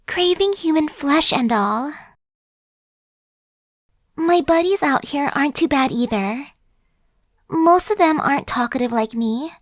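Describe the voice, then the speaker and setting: A woman's voice: high-pitched, dry recording, clean studio sound. A woman voicing a chatty, friendly female zombie who coaxes and persuades in a casual, sly way, in an ASMR roleplay.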